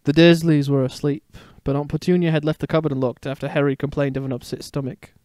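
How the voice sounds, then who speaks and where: A man's voice: on the high side, little pitch movement, fast talker, a little mellow, no echo, studio quality, close to an everyday voice. A man voicing a playful, protective young father in a dramatic story reading. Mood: reading aloud. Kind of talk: dramatic fan fiction reading.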